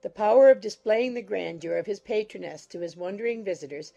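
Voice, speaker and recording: A woman narrates literary fiction in a mid-pitched, mellow voice with noticeable creak. It is a scripted audiobook reading. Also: fairly quick.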